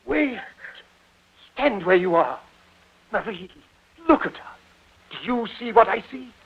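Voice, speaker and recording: An actor playing an excitable, domineering theatre-manager father in a declamatory 1940s radio drama style. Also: high-pitched, unhurried, gravelly, slightly rough, full character voice. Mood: excited, commanding. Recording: tinny, band-limited 1940 radio broadcast.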